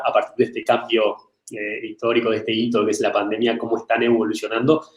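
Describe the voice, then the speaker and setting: Male panelist, mid pitch, halting delivery with repeated words, echoey room. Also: a little mellow. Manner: hesitant, many repeated words. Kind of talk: panel discussion. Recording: roomy echo.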